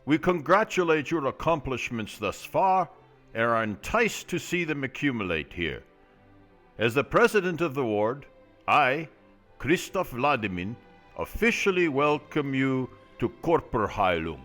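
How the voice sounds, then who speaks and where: A man playing a self-important ward president, giving formal, measured oratory in a radio drama. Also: medium pitch, big pitch swings, fairly bright tone, soft background music. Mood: ceremonious. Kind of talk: radio drama acting, formal welcome speech.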